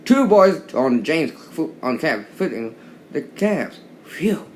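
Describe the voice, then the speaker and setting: A man narrating a children's read-along story, haltingly, often stumbling and repeating words. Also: on the high side, sing-song, a little mellow, a little hiss or hum.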